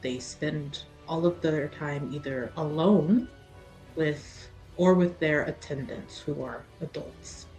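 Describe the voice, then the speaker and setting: A woman talks casually during a tabletop roleplaying game, narrating about a character. Her pitch is on the low side and her pace is slow. Faint music plays behind her. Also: a little mellow, rough recording.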